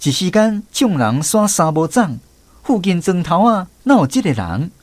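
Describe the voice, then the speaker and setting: A man's voice: high-pitched, very animated, normal speed, a little mellow, no echo. A man with a Taiwanese accent giving scripted storytelling narration for a radio drama.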